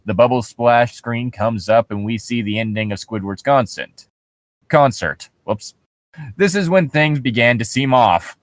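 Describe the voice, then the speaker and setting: A man with a middling-pitched voice co-hosts in a casual, joking style. He is irreverent and swears freely as he reads and comments on stories. The recording is close and dry, with very little room sound. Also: unhurried, dry recording. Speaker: co-host.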